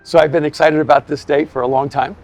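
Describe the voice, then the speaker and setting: A man's voice: on the high side, fairly even intonation, quick pace, creaky, darkish tone, faint music behind. A man hosting an interview podcast outdoors on a city street, talking in an earnest, effusive way. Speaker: podcast host.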